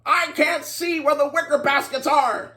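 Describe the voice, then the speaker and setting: Storyteller putting on a loud, high-pitched greedy old man. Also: steady tone, unhurried, exaggerated voice. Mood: bewildered. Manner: loud, demanding, exclamatory.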